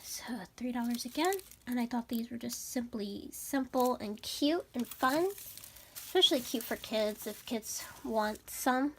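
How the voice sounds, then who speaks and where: A young woman vlogger with a raspy, stuffed-up voice, chatting bubbly and casually through a shopping haul. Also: fairly high pitch, measured pace, a little hiss or hum. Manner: bubbly and chatty, many fillers. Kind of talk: casual haul vlog chat.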